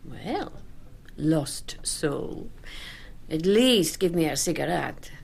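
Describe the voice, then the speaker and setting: An actress playing a proud, worldly woman in a radio drama, coolly alluring, with a languid, ironic delivery that turns breathy at phrase ends. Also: sits low, very animated, slow, darkish tone, slight background noise, exaggerated voice. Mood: mocking. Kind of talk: literary radio drama acting.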